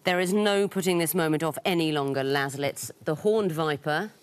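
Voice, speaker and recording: A woman's voice: sits low, moderate pace, over music. A woman hosting a television quiz show, reading clues and teasing contestants with dry wit. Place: television studio.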